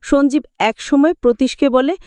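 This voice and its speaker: A woman's voice: sits high, moderate pace, warm tone, no echo. A woman reading a scripted, dramatic retelling of a film as a movie explainer. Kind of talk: scripted dramatic story narration.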